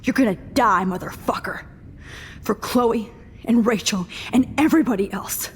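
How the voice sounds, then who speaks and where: A woman's voice: a little high, moderate pace, a little hiss or hum, lightly acted. Woman playing a young student girl, earnest and defiant, kinda echoey with a long tail. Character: defiant young female photography student, held captive. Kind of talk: video game voice acting.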